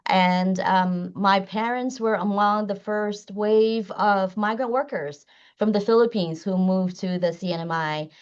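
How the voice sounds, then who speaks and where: A woman's voice: medium pitch, measured pace, smooth. A woman law professor who grew up in the Northern Mariana Islands, introducing herself earnestly with frequent "um" fillers over a video call. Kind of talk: self-introduction on a panel. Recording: dull, lo-fi call audio.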